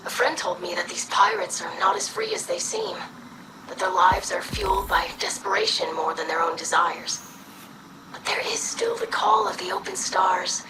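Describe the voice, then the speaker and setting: An actress voicing an earnest, scholarly young female alien scribe in a video game, formal and thoughtful, breathy with a creaky edge. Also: medium pitch, wildly swooping pitch, normal speed, echoey room, whispered, recorded from a distance, low-quality recording. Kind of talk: video game cutscene acting.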